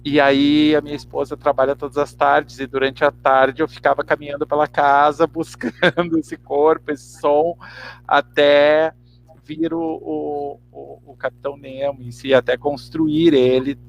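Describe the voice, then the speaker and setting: A Brazilian man giving a reflective interview answer, halting and repeating words as he searches for the next one. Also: fairly high pitch, level tone, gravelly, low-quality recording. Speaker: actor and teacher interviewed.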